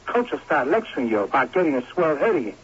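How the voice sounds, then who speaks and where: On a dull radio recording, a blunt young football buddy. His husky voice is lively, with nosy, colloquial delivery. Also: a little high, fast, exaggerated voice. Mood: brash, teasing. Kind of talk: radio drama acting.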